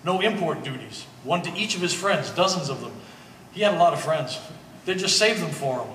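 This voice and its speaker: A man's voice: fairly high pitch, expressive, fairly quick, fairly bright tone. A man playing an easygoing old family friend in a stage play, with a wry, hesitant, joking manner. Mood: explaining, casual. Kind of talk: one-act stage play performance. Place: gallery stage performance, indoors. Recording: echoey hall, distant mic, faint noise.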